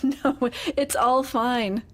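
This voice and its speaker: High-voiced, guarded female employee. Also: fairly even intonation, drawn-out words, fairly bright tone. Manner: brief, polite, guarded answers. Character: meek, guarded female office employee under pressure. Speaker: actress. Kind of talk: audio drama acting.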